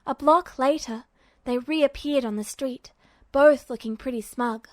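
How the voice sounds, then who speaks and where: Unhurried, higher-pitched woman narrating a story. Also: dry recording, studio quality. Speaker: fan fiction narrator.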